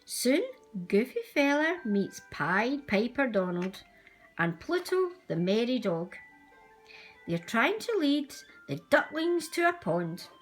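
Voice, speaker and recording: A woman reading a children's storybook aloud as a storyteller, with expressive, deliberate delivery. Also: a little high, soft background music. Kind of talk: scripted storybook narration for young children.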